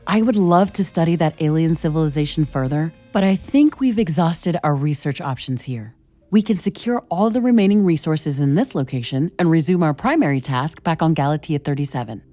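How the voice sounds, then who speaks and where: Low, mellow woman scientist-commando. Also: expressive, faint music behind. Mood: curious, measured. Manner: measured, thoughtful, professional. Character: earnest, curious female scientist-commando piloting a mecha suit. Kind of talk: scripted sci-fi game dialogue.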